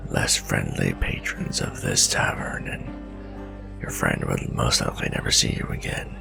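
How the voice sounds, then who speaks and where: Guy doing a wary older-and-wiser tavern stranger, super low n slow, folksy fantasy roleplay. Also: vocal fry, full character voice. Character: wary, seasoned tavern stranger with keen nose, male. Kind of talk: gothic fantasy ASMR roleplay acting.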